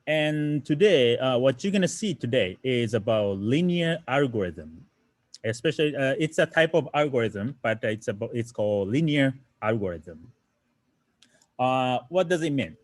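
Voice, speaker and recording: A young man with a Japanese accent teaches beginners in English, his second language. His pitch rises and falls in a lively way as he explains slides and keeps asking "right?". The sound is dry and close. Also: moderate pace, no echo. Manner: explanatory, many "right?" tag questions and fillers. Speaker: programming instructor. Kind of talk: online lesson explaining slides.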